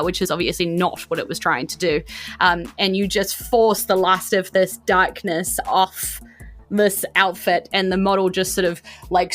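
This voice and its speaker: A woman with a New Zealand accent narrating as game master, in an informal, descriptive and animated way. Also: fairly low pitch. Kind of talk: improvised tabletop game narration.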